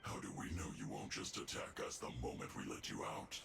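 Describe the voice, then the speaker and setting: Very deep, gravelly game villain. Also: male voice, fairly even intonation, brisk, roomy sound, in a whisper, heavily acted. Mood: suspicious, alarmed. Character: menacing, calculating male villain of a tech-stealing faction. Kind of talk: video game voice acting.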